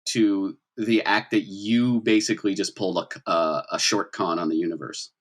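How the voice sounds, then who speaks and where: A man with a fairly low voice runs a tabletop game. He narrates scenes and talks through the rules conversationally, explaining as he goes. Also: steady tone, normal speed. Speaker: game master. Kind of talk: narrating and refereeing a collaborative tabletop roleplaying game.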